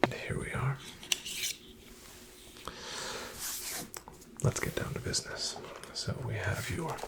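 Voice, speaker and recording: A man playing a polite, reassuring financial advisor in an ASMR roleplay, in a breathy half-whisper with gravelly fry and a slow, soothing, formal delivery. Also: on the low side, lively intonation. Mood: calm, businesslike. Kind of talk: ASMR, soft-spoken roleplay.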